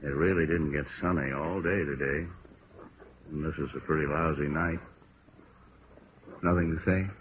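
An actor playing a blunt, gruff American police detective with a hard edge in a 1950s radio drama. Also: male voice, extremely low pitch, steady tone, moderate pace, pauses often. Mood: gruff, grumbling. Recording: muffled, noisy vintage radio recording.

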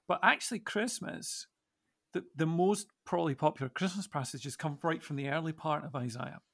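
A man co-hosting a reflective, conversational Bible discussion, musing in a halting but emphatic way. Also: fairly high pitch, vocal fry, fairly bright tone. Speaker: podcast co-host.

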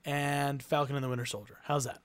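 A man's voice: medium pitch, level tone, a little fast, fairly bright tone, clear, resonant tone, no room sound. A man co-hosting an improvised comedy podcast, playful and laughing, often trailing off mid-sentence. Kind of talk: casual improvised comedy banter.

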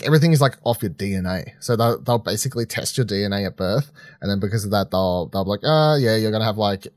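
A man's voice: on the low side, dry recording, clean studio sound. A man co-hosting a podcast, giving casual film reviews in a rambling, informal, sweary way. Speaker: podcast co-host reviewing films.

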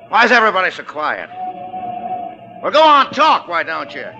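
An American actor playing a bullying, restless gangster boss in a 1940s radio drama, barking out tough, repetitive slang. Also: male voice, high-pitched, wildly swooping pitch, normal speed, exaggerated voice. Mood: edgy, threatening. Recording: dull 1940s radio recording, music behind.

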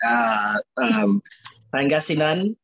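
A man chatting casually as a podcast guest, jovial and quick to chuckle, often repeating his phrases. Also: mid-range voice, sing-song, a bit bright, muffled recording. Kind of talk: casual chat.

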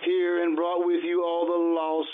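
A man playing a nervous, ailing gentleman in old-time radio drama, with a fervent, halting, rambling manner. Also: high-pitched, monotone. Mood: agitated, confiding. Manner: fervent, rambling, halting speech with sighing exclamations. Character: nervous, haunted, ailing gentleman, feverishly excitable. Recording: tinny narrow-band radio recording, faint hiss.

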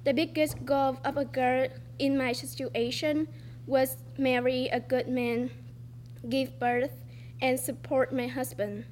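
A teenage girl from Vietnam reading her personal essay aloud on a stage, slowly and carefully, with pauses. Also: high-pitched, flat delivery, a little mellow, a little hiss or hum. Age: teens. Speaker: student storyteller. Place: performing arts center stage.

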